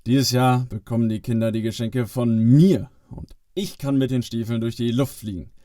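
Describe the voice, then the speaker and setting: A man's voice: mid-range voice, moderate pace, warm tone, dry recording, clean studio sound, close to an everyday voice. A man playing a sly, vain cousin of Santa, eager and boastful, in a family Christmas audio play. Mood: pleased, impatient.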